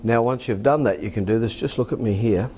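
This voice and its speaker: A low, deep Australian male voice with the calm of a working hypnotist. He speaks softly and deliberately, repeating himself as he instructs. Clear background noise sits behind him. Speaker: hypnotist teaching and demonstrating.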